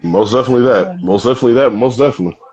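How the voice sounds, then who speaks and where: A man's voice: medium pitch, racing, darkish tone. A man chatting casually as a co-host, often repeating his phrases. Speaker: podcast co-host. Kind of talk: casual chat. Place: remote group call. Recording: slightly dull lo-fi connection.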